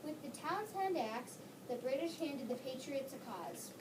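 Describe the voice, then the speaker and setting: A woman with a fairly high voice reads history narration in a steady, informative way. She sits some way from the mic, with clear background noise. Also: normal speed, far from the mic. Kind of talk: readers theater narration.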